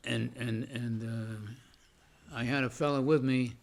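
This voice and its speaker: Gravelly older man, halting and slow. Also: medium pitch, steady tone. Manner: halting, many restarts and fillers; says he has a loud voice. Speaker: veteran interviewee. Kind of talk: oral history recollection.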